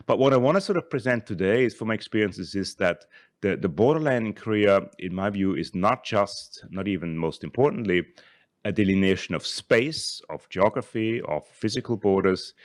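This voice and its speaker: Deep-voiced older man lecturing. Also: dry recording, studio quality. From Switzerland. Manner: thoughtful, halting, repeats and restarts words. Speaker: conference speaker, scholar. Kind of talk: academic conference talk with slides.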